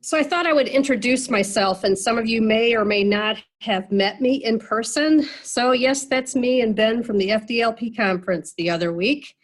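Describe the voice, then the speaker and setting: Nasal, slightly high-voiced woman moderating a video call meeting, casual and chatty, full of fillers. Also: normal speed, few pauses. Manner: chatty, many fillers and restarts. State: nasal from a cold.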